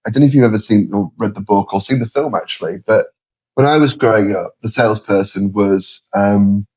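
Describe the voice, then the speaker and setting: A middle-aged man with a British accent explaining his views in a measured, conversational way as an interview guest. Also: lowish voice, darkish tone, slightly rough. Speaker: interview guest, sales leader.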